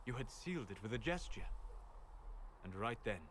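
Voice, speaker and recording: An actor playing a calm, scholarly mage in a video game, speaking in measured, formal, reflective phrases. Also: male voice, medium pitch, background noise, lightly acted. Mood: quiet wonder. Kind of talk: scripted video game dialogue.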